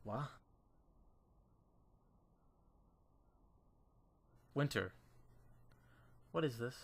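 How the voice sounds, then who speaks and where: A calm male mentor, played by a man in a visual novel drama. His voice sits in a middle range, measured and firm, with a gently persuasive manner. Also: medium pitch, creaky, exaggerated voice. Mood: taken aback. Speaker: voice actor in a fan dub.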